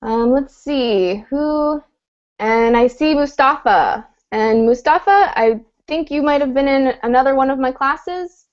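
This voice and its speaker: A woman teaching English on a video call, her voice pitched a little high and delivered patiently, clearly and encouragingly. The audio is dull and lo-fi. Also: animated, measured pace. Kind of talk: online group class.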